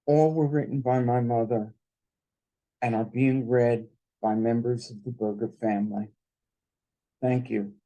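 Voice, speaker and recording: Slow, solemn man reading poetry. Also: mid-range voice, fairly even intonation, mellow, rough recording. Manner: solemn, deliberate. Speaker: son of the poet, introducing and reading.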